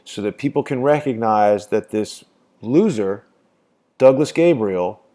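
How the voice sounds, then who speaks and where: A man's voice: fairly low pitch, expressive, a little slow, mellow. A man hosting a call, talking insistently and repeating his points. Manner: insistent, repetitive. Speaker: host. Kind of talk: casual phone conversation.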